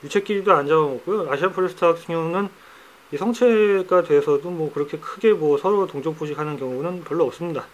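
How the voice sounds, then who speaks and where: Hoarse chatty man vlogging his pets. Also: a little high, fast. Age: middle-aged. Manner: chatty, teasing, drops into banter. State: hoarse, voice cracks. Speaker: pet keeper vlogger. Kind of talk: casual how-to vlog. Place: indoors among pet enclosures.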